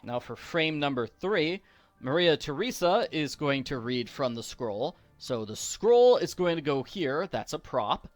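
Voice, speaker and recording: Animated man, unhurried game walkthrough. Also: a little high, no echo. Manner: playful, jokey. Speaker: video game walkthrough host. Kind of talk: casual game commentary. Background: faint game music.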